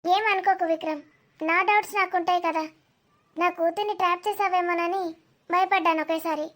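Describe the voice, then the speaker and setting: A woman's voice: extremely high pitch, fairly even intonation, normal speed, fairly bright tone. A woman voicing a proud, wary middle-aged auntie in cartoon family drama, questioning in polite but indignant words. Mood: suspicious.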